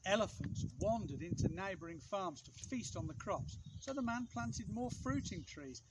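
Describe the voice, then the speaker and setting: A man reading a children's picture book aloud in an expressive way, with measured, dramatic phrasing and pauses for effect. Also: high-pitched, very noisy. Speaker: storybook reader, outdoor teacher.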